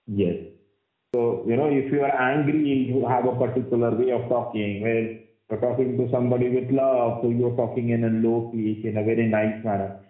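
Husky man explaining, tinny room. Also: medium pitch, fairly even intonation, normal speed. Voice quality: husky, heavy voice. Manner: explains at length with many fillers and restarts. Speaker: guest voiceover artist. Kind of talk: explanatory interview talk. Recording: roomy, tinny phone-like sound.

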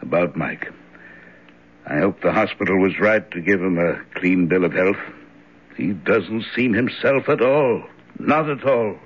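A man's voice: low-pitched, measured pace, breathy edge. An actor playing a troubled, apologetic, uneasy man in a 1970s radio drama. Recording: muffled old radio broadcast recording.

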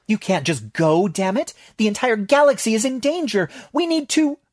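A man voicing a frantic, scheming young female student who rambles in a high, pleading voice. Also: very animated, normal speed, no echo, clean studio sound. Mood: desperate.

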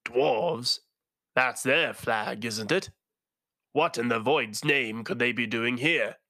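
A man's voice: medium pitch, slow pace, sharp tone, no echo, studio quality. A man voicing an incredulous crewman. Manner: incredulous questioning.

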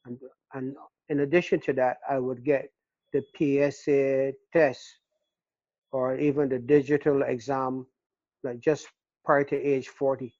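Older Barbadian man, earnest and halting. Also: mid-range voice, level tone, a little mellow, dry recording. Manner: earnest, halting with repeated words. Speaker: interviewee. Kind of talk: casual interview. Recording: dull, dry lo-fi recording.

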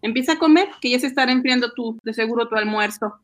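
High-voiced, bustling Peruvian housewife acted by a woman. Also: fast, natural voice. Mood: affectionate. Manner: exclaiming, repetitive, coaxing, sighing. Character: Micaela, bustling, affectionate housewife and mother, fussy and chatty. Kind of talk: amateur Spanish-language radio drama acting.

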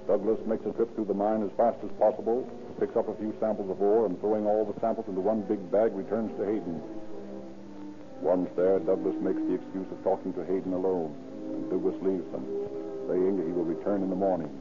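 Low-pitched vintage radio narrator, a man. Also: flat delivery, a little fast. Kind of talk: scripted 1940s radio drama narration. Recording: tinny old radio broadcast. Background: prominent music.

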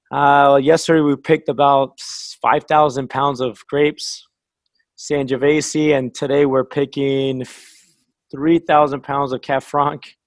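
A man's voice: mid-range voice, dry recording. A man in his thirties who runs a winery, casually interviewing a guest in a chatty, friendly way and laughing often.

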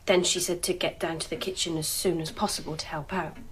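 An actress playing a sulky young kitchen helper in a radio drama, curt, colloquial and evasive. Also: female voice, deep voice, level tone, fairly quick, lightly acted, poor audio.

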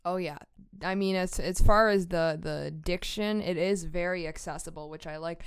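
A woman's voice: on the low side, normal speed, smooth. An American woman hosting a podcast, talking conversationally about a book in an articulate, reflective way. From United States. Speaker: podcast host.

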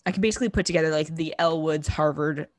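Booming, chatty young woman, low voice. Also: rapid-fire, no room sound. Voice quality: booming voice that projects. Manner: chatty, full of fillers like "like" and "um". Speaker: podcast co-host. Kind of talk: casual chat recapping a TV episode.

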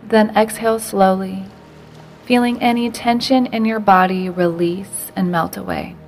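Gentle woman guiding a meditation over music. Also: mid-range voice, animated. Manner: slow, unhurried, gentle delivery with soft breathy phrase endings. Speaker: meditation guide. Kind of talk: guided meditation narration.

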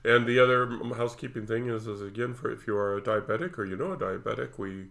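A man's voice: mid-range voice, fast, gravelly, a bit bright. A man in his sixties co-hosting a casual podcast, rambling and joking with lots of fillers. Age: 60s. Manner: rambling, jokey, full of fillers. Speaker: podcast co-host. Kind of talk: casual chat.

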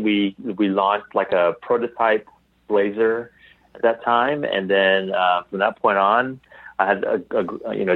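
A man with a fairly low voice talks casually as an interview guest. He pauses to find his phrasing, and the sound is narrow and phone-like, with little room around it. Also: no echo. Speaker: guest, founder of a geek apparel company. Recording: thin phone-like call audio.

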